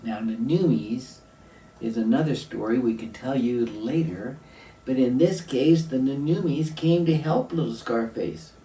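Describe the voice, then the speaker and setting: A man telling a story aloud to children, deliberate, with dramatic pauses and questions for the listener. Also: medium pitch, warm tone. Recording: roomy echo, distant mic, faint noise.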